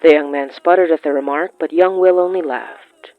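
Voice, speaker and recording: A narrator reading scripted period fiction in a measured, deliberate way with pauses. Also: medium pitch, fairly even intonation, no room sound, phone-quality sound. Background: background music.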